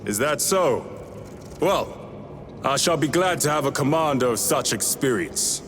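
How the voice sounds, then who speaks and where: A man's voice: mid-range voice, lively intonation, unhurried, background noise, natural voice. An actor playing a courteous, formal male warrior in a game.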